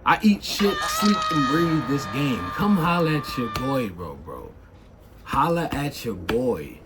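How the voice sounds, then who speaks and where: A man streamer ranting in loud, emphatic, profane commentary. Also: medium pitch, big pitch swings, takes it slowly, heavy background noise. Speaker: game streamer.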